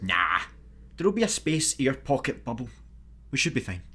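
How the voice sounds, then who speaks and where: A man's voice: mid-range voice, big pitch swings, moderate pace, pushed and bright, husky, exaggerated voice. A Scottish man playing a casual, grumbling space traveller in a sci-fi comedy radio play.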